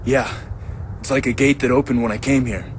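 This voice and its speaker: A man's voice: medium pitch, fairly even intonation, fairly quick, a little hiss or hum, natural voice. An actor playing a determined, impulsive young man in a video game, talking in a direct, informal way. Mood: eager. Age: young adult. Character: determined, impulsive young man seeking his lost father. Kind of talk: cinematic video game acting. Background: faint music.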